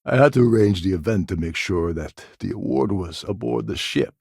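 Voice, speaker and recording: An actor voicing a cynical, scheming space pirate pilot in a video game, with a gravelly, husky voice and a wry delivery. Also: male voice, sits low, moderate pace, darkish tone, no room sound, clean studio sound, heavily acted. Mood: wry, guarded. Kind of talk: video game character dialogue.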